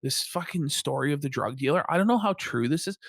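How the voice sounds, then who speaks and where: Casting: animated male co-host, mid-pitched, scornful and sweary, reacting casually in a dry space. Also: quick pace, vocal fry, no echo. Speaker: show co-host. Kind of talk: casual profane reaction commentary.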